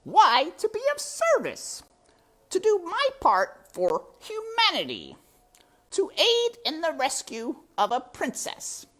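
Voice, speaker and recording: A sly storybook rat, voiced by a woman, purring and mocking as he drags out his words. His voice sits very high and swoops wildly. He sounds silky, scheming and pleased with himself. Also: huge pitch swings, fairly bright tone, exaggerated voice. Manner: silky, mocking, drawn-out and theatrical.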